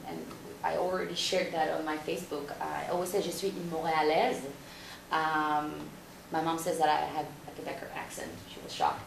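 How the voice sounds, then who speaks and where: A woman gives a personal conference talk, speaking haltingly with frequent restarts and fillers. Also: low-pitched, gravelly. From Israel. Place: conference room without windows. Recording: echoey room from far off, faint noise.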